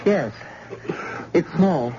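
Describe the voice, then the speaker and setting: An actress playing a tired, sharp-tongued young nurse who bristles at the doctor in an old radio drama. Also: female voice, deep voice, lively intonation, background noise, exaggerated voice. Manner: crisp and quick to bristle. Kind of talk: 1950s radio drama acting. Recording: muffled old radio recording.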